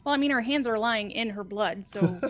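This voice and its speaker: A woman's voice: a little high, a little fast, faint music behind. A woman chatting casually as a player in a group game playthrough.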